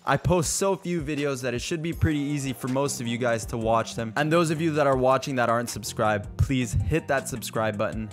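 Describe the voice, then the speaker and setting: A man's voice: medium pitch, fairly bright tone. A man in his twenties hosting a fishing channel, giving an earnest, upbeat scripted update straight to camera from a small studio on a close mic. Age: 20s. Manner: earnest and upbeat, addresses viewers as "you guys". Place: small dark indoor studio room. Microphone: studio mic on a boom arm, close.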